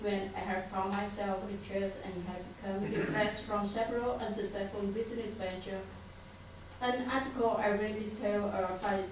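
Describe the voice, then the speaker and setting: A woman from Vietnam with a Vietnamese accent, reading a prepared statement from paper at a council meeting in a careful, halting way. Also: medium pitch, a little mellow, slight background noise. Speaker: public commenter. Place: city council chamber. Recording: echoey room picked up from far off.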